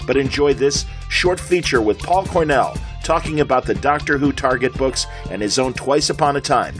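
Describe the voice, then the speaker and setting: A middle-aged man with an American accent and a husky voice, chatting conversationally as a podcast host. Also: mid-range voice. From United States.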